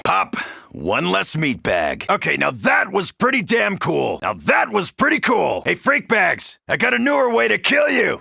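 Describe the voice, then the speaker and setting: Game casting: brash, wisecracking American macho grunt, forceful gravel voice, dry close sound. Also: male voice, mid-range voice, no room sound, heavily acted. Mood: weary, dry, then excited, brash. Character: gruff, cocky macho soldier fighting zombies. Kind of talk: video game character voice lines.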